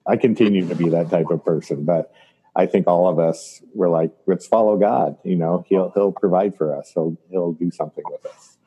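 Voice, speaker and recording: A man in his fifties with a deep, dark tone and a husky edge. He co-hosts a casual podcast, thinking out loud and circling back with restarts and a joking streak. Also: normal speed, lo-fi sound. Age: 50s. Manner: reflective, joking, trailing restarts. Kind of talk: casual reminiscing chat.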